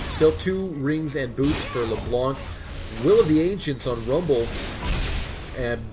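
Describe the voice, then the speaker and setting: Male game caster, stuffy cold voice, music clearly underneath. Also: mid-range voice. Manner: excitable, many fillers and restarts. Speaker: game commentator. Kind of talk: solo play-by-play commentary.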